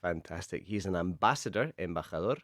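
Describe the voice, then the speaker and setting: A man with a cold and a blocked-up nose, hosting a radio show that teaches English and explaining phrases slowly and patiently. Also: sits low, lively intonation, fairly bright tone, dry recording, studio quality. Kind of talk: bilingual radio lesson chat.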